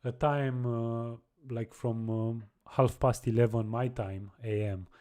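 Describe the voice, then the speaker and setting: A young man chatting casually on a live coding stream, halting and restarting his sentences as he answers viewers. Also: mid-range voice, fairly even intonation, mellow. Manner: halting, many restarts and repeated words.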